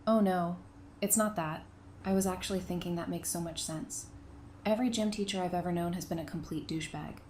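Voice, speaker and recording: A woman's voice: lowish voice, fairly even intonation, fairly quick, a little mellow, a little hiss or hum, natural voice. A woman playing a guarded, dry-witted therapist in an audio drama, blunt and challenging with a prisoner. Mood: challenging, wry.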